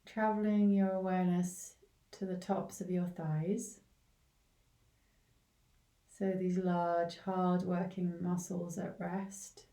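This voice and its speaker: A woman leading a guided body scan meditation in a gentle, unhurried way, leaving long pauses between short phrases. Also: fairly low pitch, flat delivery. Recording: roomy, echoing, distant from the mic.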